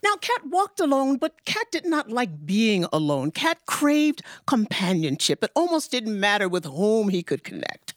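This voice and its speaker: A woman telling folktales live as a storyteller, with deliberate, dramatic emphasis and repetition. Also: medium pitch, sing-song, no room sound. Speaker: professional storyteller. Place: studio with live audience.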